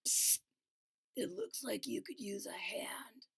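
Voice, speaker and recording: Lady doing whispery chill storybook beans, slow and soothing. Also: high-pitched, big pitch swings, exaggerated voice. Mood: encouraging. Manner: hushed, half-whispered, reassuring. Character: friendly group of cool beans, calm.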